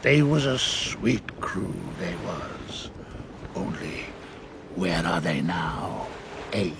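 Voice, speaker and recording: An actor playing a cunning, menacing pirate ringleader with a falsely genteel manner and old seafaring dialect in an audio drama. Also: male voice, sits low, drawn-out words, heavily acted. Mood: bitter, mocking. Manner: old seafaring dialect, wheedling and threatening by turns. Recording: steady clear background noise.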